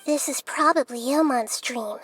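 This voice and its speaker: A woman voicing a wise, gentle young girl in an anime video game, explaining things in a measured, thoughtful way. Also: sits high, slight background noise. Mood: calm, matter-of-fact.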